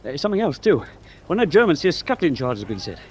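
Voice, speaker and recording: British naval messenger: dutiful, hurried, animated intonation, wartime radio play. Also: male voice, on the high side, slight background noise. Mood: urgent reporting. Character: earnest British petty officer messenger. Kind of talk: naval radio drama acting.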